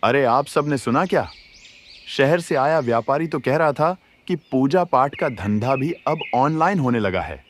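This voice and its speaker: A man plays a gossiping villager in a cartoon moral tale. His voice is medium in pitch, with faint noise and birdsong behind it. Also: expressive, measured pace, lightly acted. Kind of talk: Hindi cartoon moral-story acting.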